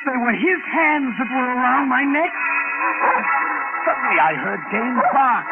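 A man's voice: very high-pitched, expressive, normal speed. An actor playing a haunted, suspicious young man in an old-time radio drama, intense and melodramatic. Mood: agitated. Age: young adult. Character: haunted, suspicious young man narrating his nightmare story. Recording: tinny old radio recording with music.

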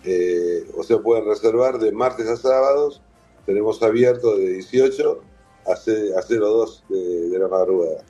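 A man's voice: fairly low pitch, normal speed, mellow, husky, muffled recording, soft background music. A man with an Argentine accent, a guest talking casually about his collection in an interview, with a chatty, repetitive way of explaining. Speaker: guest, whisky museum owner.

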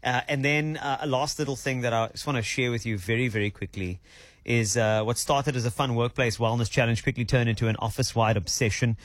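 A man's voice: mid-range voice, fairly even intonation, fairly quick, no room sound. A man with a South African accent hosting a radio show, chatting and telling stories with easy laughs. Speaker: radio host. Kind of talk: casual radio chat. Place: radio studio. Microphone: studio microphone.

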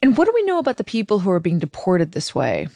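A woman's voice: medium pitch, huge pitch swings, quick pace, dark tone, no room sound. A woman hosting a news show, asking the reporter inquisitive, prompting questions. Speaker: host. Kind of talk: interviewing a reporter.

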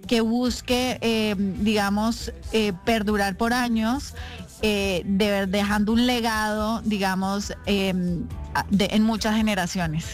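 Measured woman guest, hesitant. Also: medium pitch, steady tone. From Colombia, raised in Costa Rica. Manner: measured, many fillers and restarts. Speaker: guest, company CEO. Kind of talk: radio interview. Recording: music playing faintly underneath.

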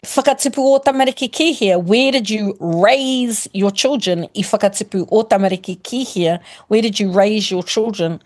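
A middle-aged woman with a New Zealand accent talks like a teacher, laying out examples one after another. She pauses to rephrase and restart, steady and friendly. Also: medium pitch. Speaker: guest, primary school teacher. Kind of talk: casual explanatory chat, mixing Māori and English.

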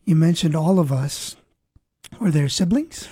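A woman hosting a faith radio program, introducing and interviewing a guest in an earnest, gently devotional way. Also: deep voice, animated, a little slow, husky, no echo. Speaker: host.

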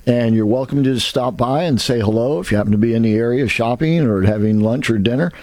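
A man's voice: medium pitch, fast talker, darkish tone, no echo. Rambling, folksy talk show host man. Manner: rambling, folksy, many restarts and asides. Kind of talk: casual radio talk show.

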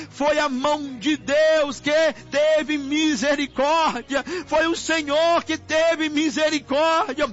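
A Brazilian man leads an impassioned, improvised prayer in an extremely high, forceful voice. He pleads insistently and circles back to the same invocations. The recording is dull and lo-fi. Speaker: preacher leading a prayer. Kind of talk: fervent extemporaneous intercessory prayer. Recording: muffled lo-fi audio with music behind. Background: music under the voice.

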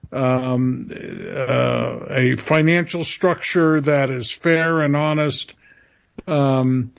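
A man answering as a radio interview guest, halting and often searching for his words. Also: on the high side, gravelly.

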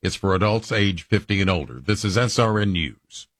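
A man reading the radio news in a crisp, formal manner. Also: deep voice, expressive, normal speed, fairly bright tone, dry recording, clean studio sound. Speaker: newscaster.

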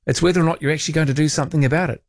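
A man's voice: on the high side, steady tone, rapid-fire. A man with a New Zealand accent hosting a late-night phone-in radio show, talking in a casual, colloquial, wry way. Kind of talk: phone-in radio chat and commentary.

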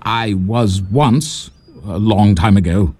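A man's voice: lowish voice, slow, a little mellow, breathy edge. An actor playing a wry English male nurse with a London accent, who now serves as hospital superintendent in a leper colony in an audio drama.

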